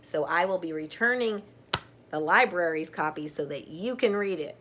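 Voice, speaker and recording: A middle-aged woman reading a picture book aloud to children, gently and deliberately, with chatty asides. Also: fairly low pitch, lively intonation, slight background noise.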